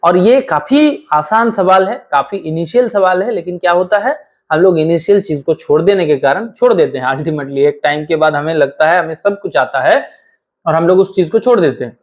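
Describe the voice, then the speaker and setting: A man with a hoarse, sore throat, teaching an online exam-prep lecture and talking straight to his students, repeating key phrases slowly. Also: a little high, animated. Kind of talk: online lecture with course promotion.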